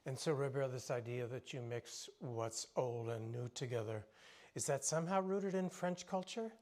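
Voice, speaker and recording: A man's voice: medium pitch, moderate pace, darkish tone. Man, mid-range voice, moderator, conversational, asks questions, light fillers. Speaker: moderator and author. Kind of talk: panel discussion.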